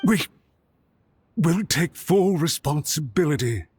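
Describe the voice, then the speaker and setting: An actor playing an anxious, contrite official in a video game, speaking formally and beseechingly. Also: male voice, fairly high pitch, lively intonation, slow, a little mellow, husky, no room sound, exaggerated voice. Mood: earnest promise. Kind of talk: dramatic video game voice acting. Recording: music behind the voice. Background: game music.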